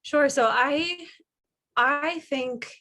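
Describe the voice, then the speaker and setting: A woman's voice: high voice, drawn-out words, bright, forceful tone. A thirty-year-old woman from Kansas City answering interview questions over a video call, earnest and chatty with frequent fillers. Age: 30s. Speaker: author and baker. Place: home living room.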